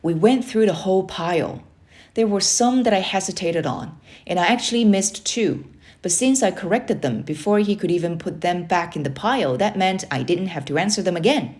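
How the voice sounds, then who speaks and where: Low-voiced woman reading a children's book. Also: animated, normal speed, a little mellow. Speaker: read-aloud narrator. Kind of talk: expressive storybook read-aloud narration.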